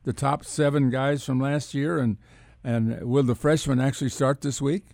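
A man hosting a sports radio show, asking questions in a casual, halting way. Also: mid-range voice, steady tone, creaky, darkish tone, no room sound, studio quality.

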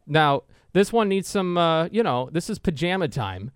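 Male sports radio host, thirties to forties, American, fairly high pitch, casual banter, dry sound. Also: expressive, normal speed, clear, resonant tone, no echo. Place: radio studio.

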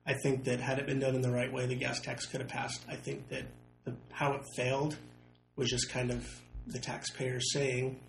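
Young man, council candidate, flat monotone delivery, gravelly fry, roomy echo, faint hum. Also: mid-range voice, moderate pace, few pauses. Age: young adult. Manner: earnest, hedging with "I think" and "I mean". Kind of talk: candidate interview.

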